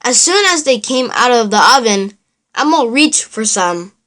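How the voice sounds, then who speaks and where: A woman reading a children's storybook aloud in an expressive, deliberate storytelling manner. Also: fairly high pitch. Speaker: children's book reader. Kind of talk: storybook read aloud for kids.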